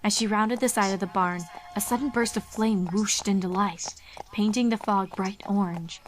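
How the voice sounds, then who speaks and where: A woman's voice: mid-range voice, steady tone, moderate pace, faint music behind. A woman reading a horror fan fiction story aloud as its narrator.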